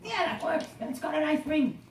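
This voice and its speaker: Someone doing a high-pitched chatty puppet bunny. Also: animated, normal speed, gravelly. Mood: mock exasperated. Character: chatty carrot-craving puppet bunny, eager and jokey. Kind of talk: improvised home puppet show. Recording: roomy distant home recording, faint noise.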